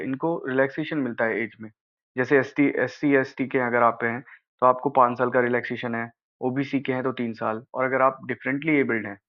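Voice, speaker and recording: A man with an Indian accent gives an informal, brotherly explainer as an advice presenter, often checking in with the listener. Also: medium pitch. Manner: casual, brotherly advising, checking with "okay?". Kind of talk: informal explainer of a job notification. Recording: slightly dull, lo-fi sound.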